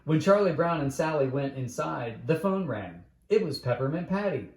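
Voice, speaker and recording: Animated male bedtime storyteller, highish voice. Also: normal speed, reverberant, rough recording.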